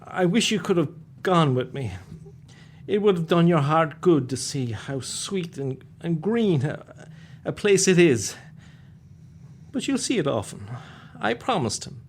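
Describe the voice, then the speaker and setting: A man acting a gentle, grieving father in a radio play, halting and stammering tenderly. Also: a little high, very animated, slight background noise. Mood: weary, wistful. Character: gentle, grief-stricken humble father.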